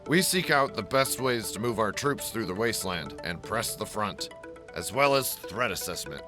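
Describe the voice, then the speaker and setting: Guy as mild army scout captain, kinda low voice, polite and plain, music under him, radio play interview. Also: expressive, normal speed, lightly acted. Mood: mild, slightly awkward. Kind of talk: interview in audio drama.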